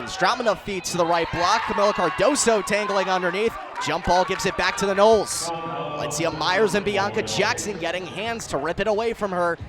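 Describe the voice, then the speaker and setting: A young American man in his twenties calling live sports play-by-play as a broadcaster. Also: sits high. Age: 20s. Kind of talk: sports commentary and scripted sports update.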